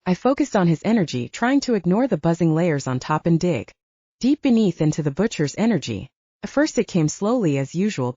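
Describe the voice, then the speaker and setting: Female audiobook narrator, mellow, expressive intonation, scripted first-person storytelling, dry and slightly dull recording. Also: lowish voice, no echo.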